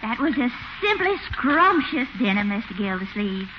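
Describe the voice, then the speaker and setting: An actress playing a flirtatious, gushing Southern belle with an American Southern accent in a vintage radio comedy. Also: female voice, a little high, sing-song, moderate pace, soft background music. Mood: sweet, flirtatious. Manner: gushing, coquettish. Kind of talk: 1940s-50s radio comedy acting. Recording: dull, hissy old radio recording.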